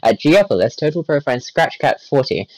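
A man's voice: on the high side, expressive, normal speed, a little mellow, no room sound, poor audio. A man reading a wiki page aloud in a group voice chat, stumbling and correcting himself as he goes. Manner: reads aloud with stumbles, self-corrections and laughs.

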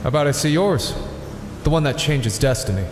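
An actor playing an earnest, guilt-ridden older vigilante hero in an audio drama, pleading yet resolute. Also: male voice, fairly high pitch, expressive, brisk, natural voice. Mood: firm, persuading. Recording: echoey, reverberant hall sound. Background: music.

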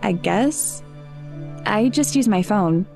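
A woman's voice: mid-range voice, lively intonation, takes it slowly, vocal fry, darkish tone, faint music behind. A woman playing an anxious, lonely seventeen-year-old girl in a naturalistic audio drama, speaking hesitantly and self-deprecatingly. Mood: wistful, resigned.

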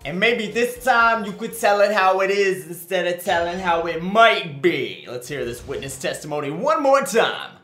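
A man's voice: high-pitched, animated, moderate pace, hardly any pauses, bright, forceful tone, reverberant, soft background music. A man voicing a cocky, wisecracking young male defense attorney with theatrical swagger in a fan-dubbed video game. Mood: sarcastic.

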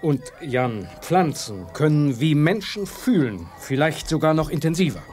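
Earnest, higher-pitched male professor. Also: big pitch swings, measured pace, a little mellow, soft background music. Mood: urgent explaining. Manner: explanatory, emphatic. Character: earnest male professor, expedition's scientific mind. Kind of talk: 1980s science-fiction audio drama acting.

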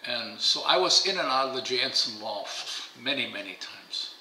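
A man's voice: mid-range voice, animated, moderate pace, sharp tone, roomy sound, a little hiss or hum, rough recording. An older man whose first language is German, telling stories in a casual interview at a dining table at home, rambling and repeating words. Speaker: interviewee, pigeon fancier. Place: bright home dining room, indoors.

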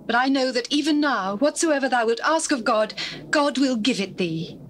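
A woman's voice: high-pitched, expressive, moderate pace. An actress playing a grieving, devout woman in dramatized scripture, speaking earnestly with a British accent. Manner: earnest, reverent. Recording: hissy old cassette recording.

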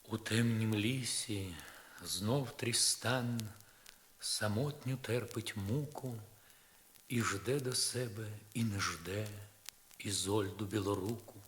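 A man narrating poetry in a solemn, measured and expressive dramatic style, on a crackly vinyl record. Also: on the low side.

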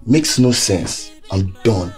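A man's voice: slow, faint music behind. A man playing a hurt, bitter young boyfriend. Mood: weary. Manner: pleading, exasperated, lively rises in pitch.

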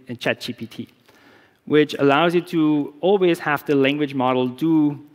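German man presenting in a big hall. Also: a little high. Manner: relaxed, explanatory, many fillers and restarts. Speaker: security researcher. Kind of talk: conference talk with live demo. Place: large conference stage. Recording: long reverb of a big hall.

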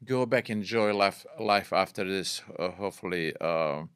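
A man in his sixties with a Bosnian accent, speaking earnestly and haltingly in non-native English as an interview guest. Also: sits low, fairly bright tone, clear, resonant tone, dry recording, clean studio sound. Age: 60s. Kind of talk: reflective studio interview. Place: small wood-panelled podcast studio. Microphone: close boom-arm studio microphone.

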